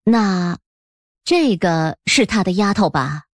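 A woman playing a haughty middle-aged official's wife in an audiobook, husky and condescending. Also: medium pitch, animated, slow, no echo, clean studio sound. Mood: prying. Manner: condescending, pointed.

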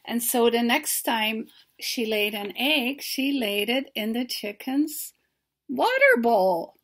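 A woman in her sixties telling a story to children as its author, pausing to put questions to them. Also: on the high side, sing-song, fairly bright tone. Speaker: children's author reading aloud. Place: book-lined home study.

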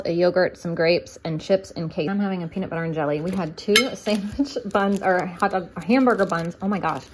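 A woman in her thirties narrating casually while cooking at home, thinking aloud as she works. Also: lowish voice. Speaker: homemaking vlogger. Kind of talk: casual kitchen vlog narration. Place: home kitchen, indoors. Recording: noisy kitchen with clicking sounds.

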